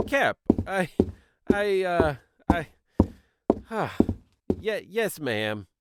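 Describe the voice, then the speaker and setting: An evasive junior police officer, a woman with a very low voice that moves a lot in pitch. She stammers and hesitates nervously, speaking slowly in an audio drama. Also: very animated, very noisy, full character voice.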